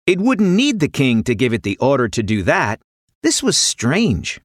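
A woman reading a scripted children's fairy tale as an expressive storyteller. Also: very deep voice, measured pace, no echo, studio quality. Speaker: narrator.

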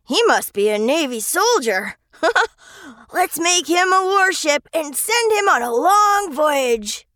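Narrator putting on a slow, high-voiced playful child. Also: big pitch swings, pushed and bright, dry recording, clean studio sound, full character voice. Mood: amused, eager. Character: playful, adventurous young child in a storybook.

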